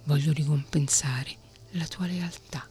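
A woman voicing a loyal servant turned to stone, speaking in a low whisper over faint music. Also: fairly even intonation, measured pace, warm tone. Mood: solemn.

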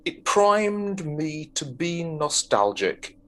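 A man's voice: a little high, sing-song, slow, sharp tone. Hesitant man chatting on a podcast. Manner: hesitant, lots of ums. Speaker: podcast co-host. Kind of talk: casual chat.

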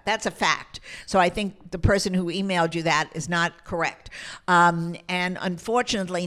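A woman's voice: on the low side, fairly bright tone. An older woman, chatting casually as a podcast co-host in an opinionated, emphatic way. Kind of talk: casual chat.

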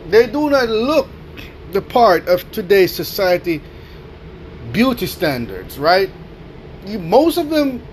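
A man with a notably high voice speaks slowly and deliberately into a podcast mic, delivering a motivational talk. He hammers his points home with repetition and tags many lines with a questioning "right?". A faint hum sits under the voice. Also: poor audio. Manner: emphatic, repeats key phrases, keeps asking "right?". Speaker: podcast host.

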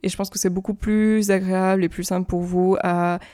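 A woman's voice: mid-range voice, level tone, brisk, mellow, no room sound, clean studio sound. A French woman hosting her own podcast, talking casually and explaining things with lots of fillers and restarts. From France. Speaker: podcast host and YouTuber. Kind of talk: casual solo podcast talk.

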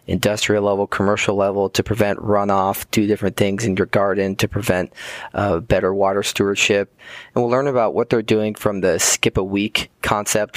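A man's voice: sits low, no room sound. A young man hosting a podcast interview, upbeat and friendly. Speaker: podcast host.

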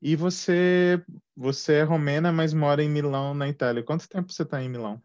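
A man's voice: fairly high pitch, steady tone, fairly quick, a little mellow, no room sound. A man chatting casually and a little hesitantly, over muffled online call audio. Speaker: guest, immigrant living in Canada. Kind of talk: casual conversational interview.

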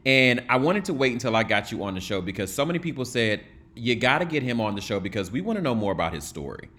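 A man's voice: medium pitch, big pitch swings, fast talker, pushed and bright. A man hosting a conversational interview, speaking in an effusive, emphatic way. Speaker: talk show host, interviewer.

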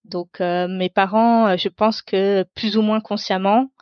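A woman's voice: mid-range voice, fairly even intonation, a little slow, no echo, dull audio. A woman whose first language is Tamil, reflecting on her own life in an unscripted interview, searching for her words as she goes. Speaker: interview guest.

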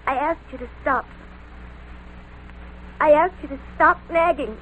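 Overwrought seventeen-year-old daughter, insistent, very high-pitched, vintage radio melodrama. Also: female voice, a little slow, a bit bright. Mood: coldly menacing. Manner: emphatic, repeats herself insistently. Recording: noisy vintage radio recording.

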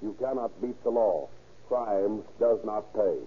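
A man's voice: on the low side, breathy edge. Muffled, solemn 1930s radio man. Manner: solemn, deliberate. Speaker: narrator. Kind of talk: 1930s radio moralizing narration. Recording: muffled, hissy old radio recording.

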